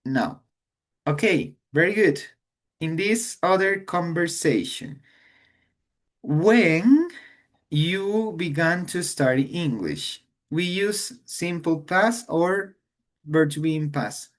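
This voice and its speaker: Slow-paced male teacher. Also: a little high, animated. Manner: slow, explains in English then repeats in Spanish. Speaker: English teacher. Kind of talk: online grammar lesson.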